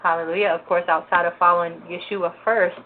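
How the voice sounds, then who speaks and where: A woman's voice: on the low side, fairly even intonation, brisk. A woman hosting a religious talk show for women, greeting listeners and giving prayerful thanks with loose fillers. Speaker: host. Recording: noisy, tinny phone-line audio.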